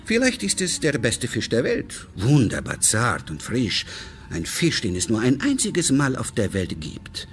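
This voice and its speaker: An actor playing a suave, fatherly and controlling businessman in a radio crime drama, speaking deliberately and courteously with veiled menace. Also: medium pitch, huge pitch swings. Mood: quietly menacing.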